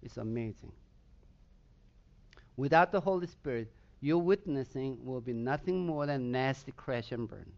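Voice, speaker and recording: A man's voice: medium pitch, animated, measured pace, vocal fry, darkish tone, muffled recording. A pastor from Southern Sweden, a man whose first language is Swedish, preaching a sermon with a Swedish accent and emphatic bursts of intensity.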